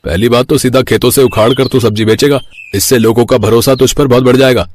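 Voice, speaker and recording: A man's voice: medium pitch, fairly even intonation, a little fast, darkish tone, slight background noise, close to an everyday voice. A man voicing an easygoing young village friend in a cartoon story, colloquial and given to exclaiming. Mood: amazed, curious.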